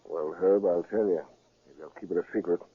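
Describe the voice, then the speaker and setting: Cocky 1930s radio gangster. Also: male voice, sits low, fairly even intonation, fairly quick, husky, narrow, tinny audio. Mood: sly, confiding. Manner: slangy, tough-talking. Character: cocky, defiant American gangster, sneering tough guy. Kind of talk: 1930s radio crime drama acting. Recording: thin, band-limited 1930s radio recording.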